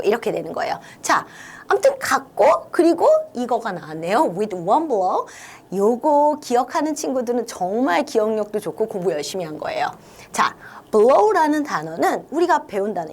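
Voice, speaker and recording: A woman teaching an online English lesson, walking young students through a story line by line and coaxing them to think along. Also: fairly high pitch. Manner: lively, coaxing, talks to students directly.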